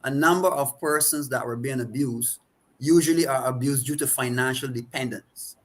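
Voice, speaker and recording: Barbadian-accented man in his forties. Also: medium pitch. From Saint Vincent and the Grenadines. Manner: reflective, halting with repeated restarts. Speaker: counselor and guest. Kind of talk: interview answers.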